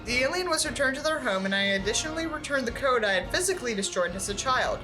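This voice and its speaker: A woman reading a book aloud in an expressive, exasperated way, with sarcastic asides. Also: high voice, quick pace, music in the background, rough recording.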